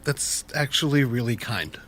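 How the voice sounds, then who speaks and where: An actor playing a wistful, candid former youth pastor in a naturalistic audio drama, telling his story in a reflective, halting way. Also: medium pitch, expressive, fairly bright tone. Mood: guarded, hesitant.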